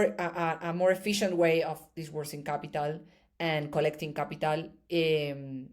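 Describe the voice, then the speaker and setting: A woman's voice: on the low side, a little mellow. A woman, a startup founder answering interview questions thoughtfully, with many fillers and restarts. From Argentina.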